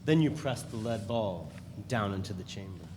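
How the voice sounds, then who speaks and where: A man in a live dramatic reading playing a matter-of-fact gun enthusiast who explains things deliberately. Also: fairly low pitch, lively intonation, darkish tone, lightly acted. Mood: instructive. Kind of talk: dramatic reading of a novel before an audience. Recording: reverberant hall, noisy live recording.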